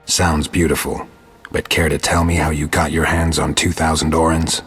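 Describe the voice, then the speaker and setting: A man playing a gruff, sardonic, world-weary monster hunter in a video game, with a gravelly rasp and a dry, terse delivery. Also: very deep voice, level tone, normal speed, fairly bright tone, long reverb tail, heavily acted. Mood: exasperated.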